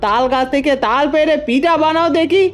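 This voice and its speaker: A woman's voice: sits high, a little fast, close to an everyday voice. A woman voicing a scheming, bossy older mother-in-law in a village cartoon, with a scolding, imperious manner. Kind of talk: cartoon fairy-tale voice acting.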